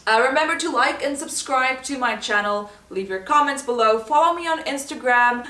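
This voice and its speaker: A woman's voice: high voice, lively intonation, normal speed, sharp tone. A chatty, giggly woman from South Africa with an accent, speaking Afrikaans as her first language, answering questions about herself. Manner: chatty and giggly, laughing often. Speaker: vlogger. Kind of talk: casual get-to-know-me chat. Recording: roomy echo, voice from a distance.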